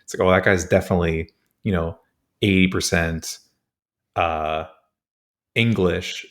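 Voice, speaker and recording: A young man with a very deep voice co-hosts a comedy podcast. He talks in a laid-back, easygoing way, full of fillers and frequent laughter. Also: steady tone, measured pace, a bit bright. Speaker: podcast co-host. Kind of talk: casual comedic chat.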